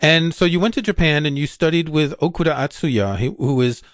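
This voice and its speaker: A man's voice: fairly high pitch, brisk, dry recording, studio quality. A man hosting a podcast, asking curious, conversational questions and sharing his own experiences. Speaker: podcast host. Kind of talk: interview questions.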